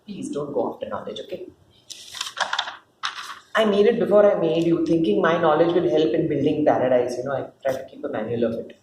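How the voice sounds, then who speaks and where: A woman's voice: low-pitched, fairly quick, voice pushed down, lightly acted. A woman voicing God as a doting, chatty, motherly creator who fusses and instructs in a dramatic play reading. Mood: flustered, warning. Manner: casual, instructing, fussing with interjections. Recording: roomy, distant mic, faint noise.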